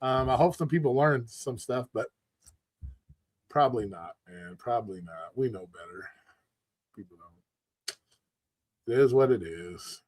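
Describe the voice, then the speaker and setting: A man's voice: medium pitch, expressive, measured pace, long pauses, a little mellow, dry recording. A man hosting a casual online talk stream, congested and losing his voice, talking loosely with lots of swearing. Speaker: host. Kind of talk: casual livestream chat.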